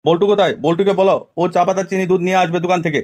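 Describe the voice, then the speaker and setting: A man's voice: high-pitched, fairly even intonation, very fast, a little mellow, slight background noise, natural voice. A man voicing a bad-tempered, foul-mouthed village father in an amateur comedy cartoon, scolding and threatening crudely. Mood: demanding.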